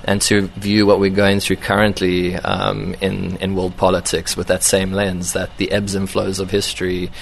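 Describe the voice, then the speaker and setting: A man's voice: sits low, completely flat delivery, normal speed, vocal fry, hardly any pauses, slight background noise. A man, a film director, answering interview questions in a thoughtful, hesitant way with many ums and restarts. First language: English. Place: event venue.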